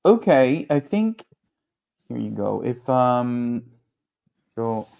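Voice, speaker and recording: A man's voice: mid-range voice, sing-song, slow, creaky, warm tone. A man, a guest author and translator, speaking casually and hesitantly, often searching for words. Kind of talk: casual conversation.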